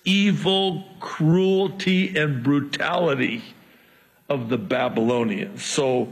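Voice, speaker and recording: A man whose first language is Arabic, teaching a verse-by-verse sermon in an animated way with quips between verses. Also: mid-range voice, slightly rough, long reverb tail, rough recording. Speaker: Bible teacher.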